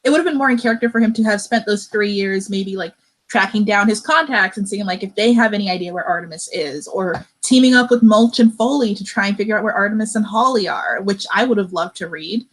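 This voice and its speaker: A woman's voice: mid-range voice, quick pace. A woman giving her opinions conversationally in a casual book discussion.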